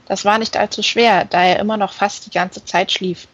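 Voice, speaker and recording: A woman's voice: medium pitch, fairly even intonation, normal speed, breathy edge, highs cut off. A woman reading a novel aloud as scripted audiobook narration. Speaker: audiobook reader.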